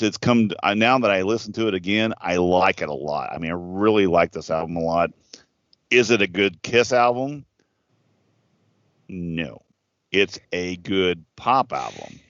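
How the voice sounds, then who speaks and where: A man's voice: on the low side, a bit bright, dry recording. A man in his fifties, a podcast co-host chatting casually in a rambling, profane way with lots of restarts. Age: 50s. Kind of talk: casual chat. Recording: dull lo-fi podcast audio.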